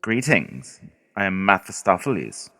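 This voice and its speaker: A man's voice: deep voice, sing-song, unhurried, sharp tone, full character voice. A man playing a haughty, condescending demon in a radio play, speaking in a pompous, formal way. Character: haughty male demon, courtly, condescending, fiendish. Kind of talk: theatrical radio play monologue.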